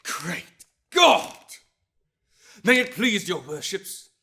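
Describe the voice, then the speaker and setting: An impassioned young colonial lawyer, acted by a man, with a high, bright and forceful voice. He orates theatrically to a courtroom, swinging widely in pitch between restraint and thunder. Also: big pitch swings. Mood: fervent, thundering. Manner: theatrical, swinging from hushed to thundering, with long pauses. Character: impassioned young 18th-century lawyer, indignant defender of liberty. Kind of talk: dramatic courtroom oration monologue.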